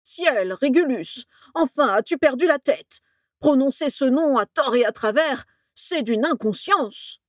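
A woman's voice: medium pitch, unhurried, no echo, lightly acted. Flustered elderly male wizard professor for an audiobook, played by a woman, timid, quavering, stammering, dry close sound. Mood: shocked, scolding. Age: older.

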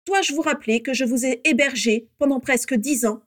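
A woman's voice: high voice, lively intonation, measured pace, a little mellow, slightly rough, pitched above the performer's own voice, full character voice. A woman voicing an irritable middle-aged wizard father, nasal and aggressive, in a storybook. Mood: indignant. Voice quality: nasal, disagreeable. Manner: aggressive, indignant.

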